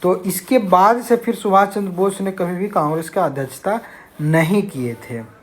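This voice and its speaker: A man's voice: high voice, moderate pace. A man teaching an exam-prep lesson, reading out questions and explaining answers in a didactic, repetitive way. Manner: didactic, repeats key phrases.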